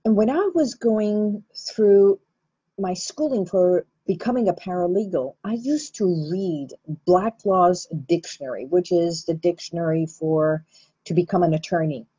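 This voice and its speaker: A woman with an Armenian accent speaks slowly and earnestly, taking her time over each thought. Her voice is low, mellow and a little husky. The recording sounds muffled and lo-fi. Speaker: healing practitioner and host. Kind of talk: reflective talk to camera.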